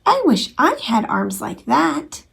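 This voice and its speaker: Storybook young male hare, played by a woman: childlike and eager, pitched high, earnestly adoring. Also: huge pitch swings, slow, creaky, fairly bright tone, husky, full character voice. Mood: musing, wistful.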